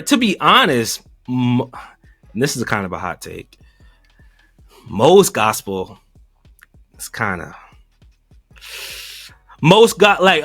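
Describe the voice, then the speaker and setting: A man co-hosting a reaction talk show, animated and joking, swinging from low asides to loud outbursts. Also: no room sound. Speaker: podcast co-host. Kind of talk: casual reaction chat.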